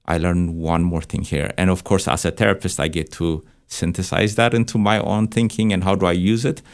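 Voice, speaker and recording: Very deep-voiced man. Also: fairly even intonation, a little fast. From Ethiopia. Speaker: church counseling director and therapist, panel guest. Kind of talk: reflective conversation.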